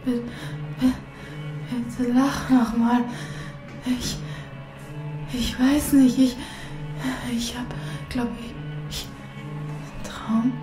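An actress playing a dazed, anxious young daughter in a German audio drama, speaking haltingly and repeating her words. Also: a little high. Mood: dazed, pleading. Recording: echoey, music behind.

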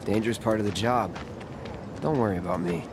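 An actor with an American accent playing an aloof young mercenary in a video game, terse, deadpan and detached. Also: male voice, fairly low pitch, fairly quick, creaky, over a noisy crowd. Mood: cool, reserved.

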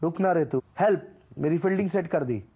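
A man's voice: fairly high pitch, fairly quick, warm tone, breathy edge. A man delivering short scripted gaming callouts and catchphrases in a theatrical, punchy way. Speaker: gaming creator voicing a game voice pack.

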